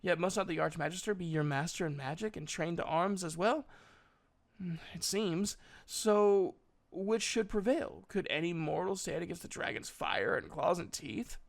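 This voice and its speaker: A man's voice: high-pitched, expressive, normal speed, vocal fry. A man voicing an earnest, humble nine-year-old prince in a storybook reading, with hesitant, formal courtesy. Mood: thoughtful, humble.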